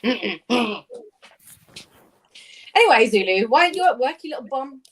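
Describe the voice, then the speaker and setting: A woman's voice: a little high, big pitch swings, normal speed. A woman on a live online panel, teasing and bantering casually. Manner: teasing, playful.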